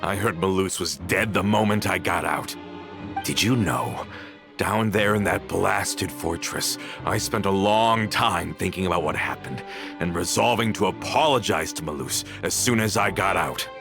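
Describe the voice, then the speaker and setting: An actor playing a bitter, vengeful former mafia member in a video game, with theatrical, accusing delivery that dwells on each phrase. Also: male voice, sits low, a little slow, gravelly, over music. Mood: bitter reminiscence. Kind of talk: dramatic video game voice acting.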